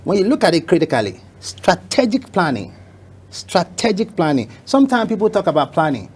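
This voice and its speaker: Animated emphatic talk show guest. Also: mid-range voice, fairly quick, husky. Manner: emphatic storyteller who repeats phrases for effect. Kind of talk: motivational talk show conversation.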